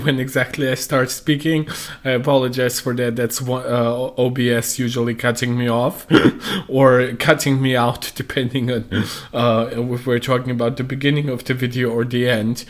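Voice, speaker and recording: Young male tech streamer, deep and hoarse from a cold, loose filler-heavy chat. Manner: rambling with many ums and uhs. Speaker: tech livestream host. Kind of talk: casual livestream chat.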